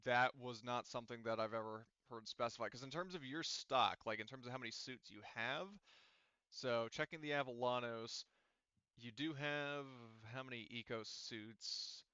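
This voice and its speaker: A man's voice: medium pitch, steady tone, moderate pace, pushed and bright, smooth, dry recording, lo-fi sound. A man running a tabletop roleplaying game as game master, talking casually and thinking aloud as he narrates and explains rules.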